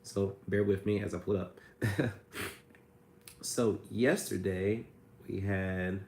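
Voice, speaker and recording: A man with a hoarse, raspy voice from a cold, hosting a casual livestream and answering viewer questions about credit repair. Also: sits low, steady tone, takes it slowly, a little mellow, echoey room. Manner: reads viewer comments aloud, chatty and loose.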